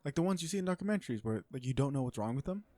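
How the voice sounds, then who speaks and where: Casting: male actor as a sardonic, flirty corporate supervillain for romantic comedy audio roleplay, mid-pitched, conversational and sure of himself. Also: animated, racing, mellow, no room sound, close to an everyday voice. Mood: flirty, relaxed. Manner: conversational venting, sardonic and self-assured. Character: cocky, flirtatious businessman supervillain on a blind date.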